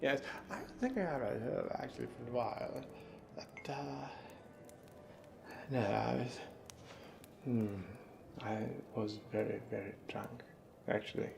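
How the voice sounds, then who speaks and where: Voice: medium pitch, lively intonation, measured pace, gravelly, long pauses. A performer voicing a muddled, tipsy, forgetful character in an ASMR fantasy roleplay, mumbling hesitantly and trailing off, with noise in the recording. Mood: absent-minded.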